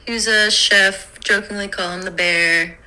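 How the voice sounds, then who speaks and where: A woman's voice: medium pitch, fairly even intonation, a little slow, pushed and bright. A woman telling a podcast a personal story in a conversational, wry way. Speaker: listener contributor. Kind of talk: storytelling message.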